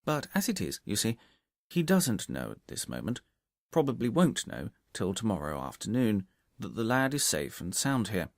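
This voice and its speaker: A man voicing a shrewd, self-assured gentleman detective from a storybook, explaining himself precisely and methodically. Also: lowish voice, huge pitch swings, moderate pace, vocal fry, no room sound, studio quality, exaggerated voice. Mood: confident, explanatory.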